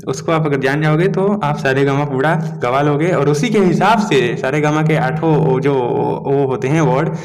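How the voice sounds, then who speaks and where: A man's voice: on the high side, a little mellow. Chatty male tutorial host, echoey room. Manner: chatty, often asks "okay?". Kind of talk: casual spoken tutorial. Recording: long echo in a room.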